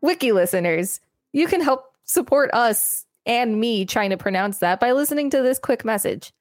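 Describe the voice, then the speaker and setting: A woman's voice: on the high side, lively intonation, normal speed, no room sound, studio quality. A woman co-hosting a podcast, reading encyclopedia articles aloud and adding casual commentary.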